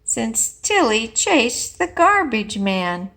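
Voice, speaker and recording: A woman's voice: on the high side, very animated, slow, fairly bright tone, heavily acted. A woman voicing a wistful female hen in a children's storybook, with exclaiming, swooping emphasis. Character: wistful female hen missing farmyard fun.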